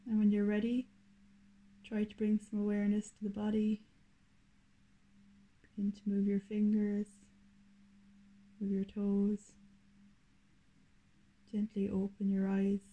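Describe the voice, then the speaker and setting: A woman leading a guided meditation in a soothing, deliberate manner, speaking in short, unhurried phrases. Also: mid-range voice, completely flat delivery, narrow, tinny audio.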